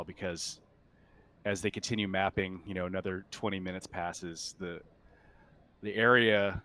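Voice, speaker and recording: Low, smooth-voiced man game mastering. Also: a bit bright. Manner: halting, thinking aloud. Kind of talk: improvised narration of a tabletop sci-fi roleplaying game.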